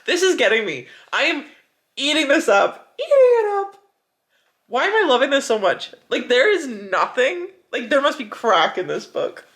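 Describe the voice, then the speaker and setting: A young adult woman chatting casually as a book vlogger, gushing and animated, with dramatic emphasis and plenty of "like" as filler. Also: high voice. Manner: frequent filler "like". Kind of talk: casual reading vlog chat.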